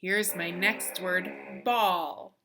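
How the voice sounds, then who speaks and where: A woman's voice: fairly low pitch, fairly even intonation, extremely slow, music in the background. A woman teacher leading an interactive children's lesson, encouraging, with simple instructions, questions and repetition. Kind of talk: interactive children's lesson, addressing viewers through the screen.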